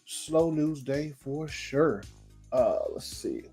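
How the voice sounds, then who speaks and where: A man's voice: a little high, lively intonation, slow, a little mellow, slightly rough. A man hosting a daily online show in casual livestream chat, loose and slangy, laughing often, his throat a little scratchy. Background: faint music.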